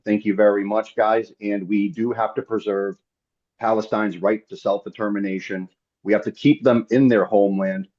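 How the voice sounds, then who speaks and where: Husky guy on a webinar panel, earnest and emphatic, repeats himself, muffled lo-fi audio. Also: on the low side, a little mellow. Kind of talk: informal political remarks. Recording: dull lo-fi online call audio.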